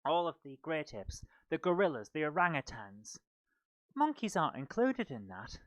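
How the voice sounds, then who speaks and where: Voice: medium pitch, wildly swooping pitch, normal speed, no echo. A stand-up comedian hosting a live show in a rambling, self-deprecating manner. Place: small book-lined room at home.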